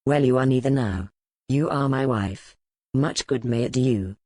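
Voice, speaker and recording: An actor playing a stern, brooding medieval duke in an audiobook, with a commanding, formal manner. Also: male voice, mid-range voice, moderate pace, a little mellow, dry recording, close to an everyday voice. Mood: grim, reflective. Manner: commanding, formal period speech. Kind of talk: dramatic audiobook character acting.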